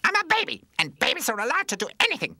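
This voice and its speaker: Brief: Shrill bratty baby-doll girl, gloating and spiteful, put on by a male storyteller, very high and lively. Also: fast talker, dry recording, studio quality, heavily acted. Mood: shrieking gloating.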